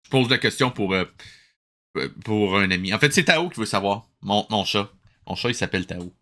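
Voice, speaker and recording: A man's voice: lowish voice, animated, normal speed, pushed and bright. A man with a Quebec French accent chatting casually and sarcastically as he reacts to a cartoon as host. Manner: teasing, sarcastic. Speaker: host of a watch-along reaction show. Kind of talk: casual chat, live commentary over a cartoon.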